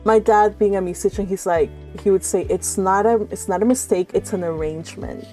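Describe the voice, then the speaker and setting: A woman's voice: mid-range voice, fairly quick, warm tone, faint music behind. A woman, not a native English speaker, reading her own fan fiction aloud and then chatting about it, often laughing and restarting her sentences. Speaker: fanfic author reading her own story. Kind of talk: story reading and casual chat.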